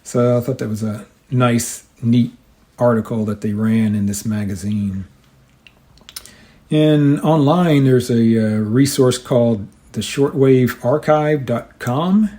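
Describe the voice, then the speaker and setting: A man with a soft-edged, mellow voice at a middling pitch talks as a hobby show host. His delivery is informal and explanatory, a casual monologue that moves along a bit haltingly. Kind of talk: casual hobbyist monologue with some reading aloud.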